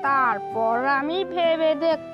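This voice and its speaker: A man's voice: very high-pitched, slow, muffled recording, music in the background. A man voicing a male bird village leader in a cartoon, reassuring and familiar. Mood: measured, reassuring. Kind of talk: fairy-tale cartoon dialogue.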